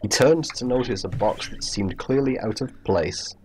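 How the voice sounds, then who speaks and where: A man's voice: mid-range voice, lively intonation, moderate pace. A man narrating a comic improvised story while gaming, with a playfully dramatic delivery. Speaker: storyteller and game player. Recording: hobby internet recording with clear noise.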